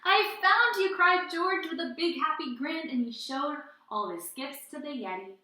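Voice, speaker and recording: Bright, high-voiced woman teacher reading aloud. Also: normal speed, a little hiss or hum. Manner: expressive, addressing young children. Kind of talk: children's story read-aloud and lesson. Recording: roomy indoor echo.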